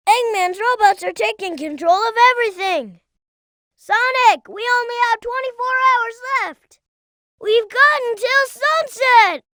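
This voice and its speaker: A performer voicing a young boy fox sidekick in a video game, childlike, earnest and excitable, eager to explain things. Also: very high-pitched, dry recording, studio quality, full character voice. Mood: urgent, eager. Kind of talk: video game character voice acting.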